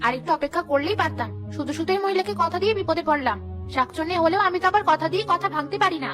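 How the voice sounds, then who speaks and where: Cartoon casting: a woman as young she-ghost, gruff yet motherly, very high fast voice, scolding. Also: expressive, over music. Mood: fretful, muttering. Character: young female village ghost, gruff but motherly, protective. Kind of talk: Bengali cartoon voice acting.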